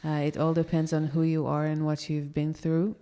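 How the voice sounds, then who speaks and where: Woman guest from Israel, yoga teacher, smooth creaky low voice, pauses a lot. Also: monotone, dark tone. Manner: thoughtful, hesitant, many fillers. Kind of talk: casual interview talk.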